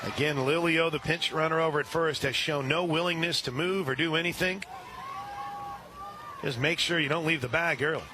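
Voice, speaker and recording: A man doing radio play-by-play of a softball game, calling pitches steadily and rising on big plays. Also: moderate pace, background noise. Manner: steady play-by-play with brief asides, rising when excited. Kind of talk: radio sports commentary.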